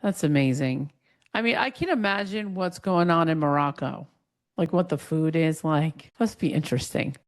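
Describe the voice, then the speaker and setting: A woman hosting a casual interview, telling stories quickly and with lively energy, with frequent "like" fillers. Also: deep voice. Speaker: podcast host.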